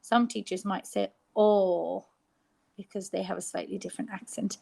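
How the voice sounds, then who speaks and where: An Irish woman teacher giving a phonics lesson to young children, sounding out letters slowly and clearly. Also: mid-range voice, steady tone, dark tone. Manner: slow, exaggerated sounding out of letters.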